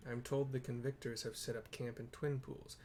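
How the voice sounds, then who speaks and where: A man's voice: medium pitch, level tone, brisk, darkish tone, lightly acted. A man voicing a grave, proud dragon-slaying knight in a fantasy game, with solemn, formal, old-fashioned phrasing. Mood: grave, measured.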